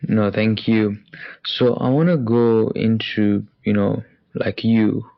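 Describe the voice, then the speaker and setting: A man's voice: on the low side, fairly even intonation, slow, creaky, no echo. A young man hosting a career podcast interview, talking with hesitant fillers. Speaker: podcast host. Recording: dull lo-fi sound.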